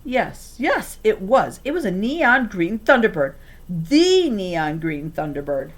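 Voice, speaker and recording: A woman's voice: medium pitch, very animated, takes it slowly. Woman reading a storybook aloud expressively. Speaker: reader reading a children's novel aloud.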